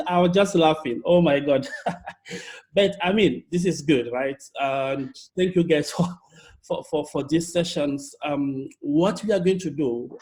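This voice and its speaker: A young man from Togo with a French accent, a native French speaker giving an energetic online workshop talk as a youth leader, often repeating and restarting words over a video call. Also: fairly high pitch. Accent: Togolese French.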